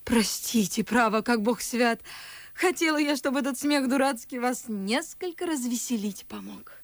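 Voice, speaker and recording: An actress playing a pert, merry, cheeky but deferential young maidservant in a verse radio play, with lively theatrical delivery. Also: female voice, fairly high pitch, moderate pace, no echo. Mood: apologetic. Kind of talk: classic verse stage acting for radio. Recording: faint music under the voice. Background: music.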